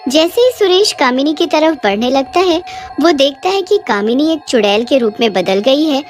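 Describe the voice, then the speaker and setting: A woman's voice: high-pitched, lively intonation, moderate pace, soft background music. A woman narrating a scripted cartoon horror bedtime story.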